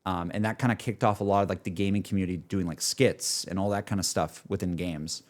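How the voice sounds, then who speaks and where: A man's voice: low voice, fairly even intonation, fast. A young adult man chatting casually on a livestream, rambling enthusiastically about gaming in a self-deprecating way. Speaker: streamer.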